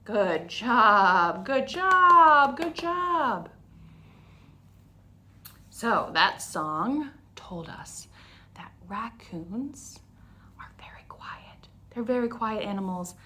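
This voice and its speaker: A woman's voice: a little high, sing-song, drawn-out words, sharp tone. A woman hosting a story time for young children, explaining things in simple, instructional phrases.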